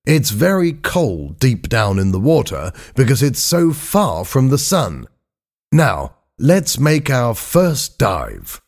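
A man's voice: medium pitch, huge pitch swings, takes it slowly, a little mellow, pitched above the performer's own voice, heavily acted. A man voicing an exclamatory, urgent male scientist diver in an audiobook story. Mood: upbeat. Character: male scientist diver, eager then alarmed and impatient.

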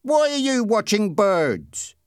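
A man's voice: very high voice, sing-song, unhurried, no echo, clean studio sound, pitched above the performer's own voice, heavily acted. A man voicing a suspicious, sneering boy bully who needles and accuses in an audiobook story.

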